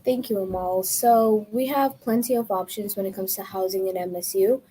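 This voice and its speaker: Young woman from ethiopia, mellow voice, explaining housing stuff, bit of hum behind. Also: mid-range voice, rough recording. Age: young adult. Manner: informative, measured, with some ums. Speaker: student presenter.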